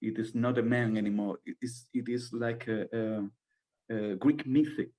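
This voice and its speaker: A man's voice: mid-range voice, fairly even intonation, unhurried, warm tone. A man from Spain with a Spanish accent, a playwright answering questions in a second language, searching for words. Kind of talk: halting Q&A answer.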